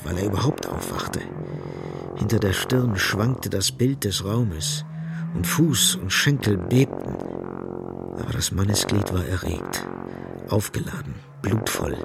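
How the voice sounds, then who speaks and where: A man narrates a literary radio play over music. His voice is fairly low with a strong creak, and he delivers terse phrases with dramatic emphasis.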